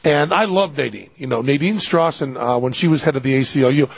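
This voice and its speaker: A man with a Western Massachusetts accent hosting a radio show, telling stories in lively, animated bursts. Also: medium pitch, fairly quick, vocal fry, slightly rough, dry recording. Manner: animated storyteller, acts out quotes. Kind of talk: casual radio chat. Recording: dull radio audio.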